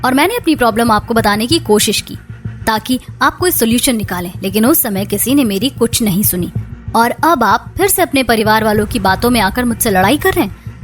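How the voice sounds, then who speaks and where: High-voiced household woman for a domestic moral cartoon played by an actress, soft music bed. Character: women of a quarrelling household: daughter-in-law, mother-in-law, sister-in-law. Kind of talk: Hindi moral-story cartoon dubbing.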